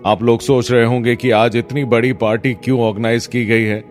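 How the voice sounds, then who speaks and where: A man's voice: mid-range voice, little pitch movement, a little fast, natural voice. A man playing a proud, powerful older business tycoon in an audio drama, making grand, ceremonial announcements. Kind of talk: Hindi audio drama acting. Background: background music.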